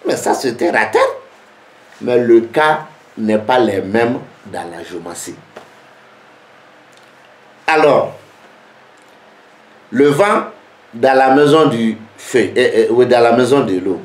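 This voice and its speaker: A man teaching geomancy in French, which is not his first language, speaking slowly and repeating rhetorical questions. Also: mid-range voice, expressive, roomy sound. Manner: slow, repetitive, rhetorical questions. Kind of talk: spoken lesson to camera.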